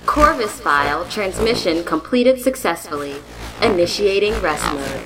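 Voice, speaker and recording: A woman's voice: medium pitch, very animated, measured pace, rough recording. A woman voicing a formal, orderly computer system in an audio drama, announcing in a procedural way. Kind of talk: scripted audio drama sign-off. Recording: music clearly behind the voice. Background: music.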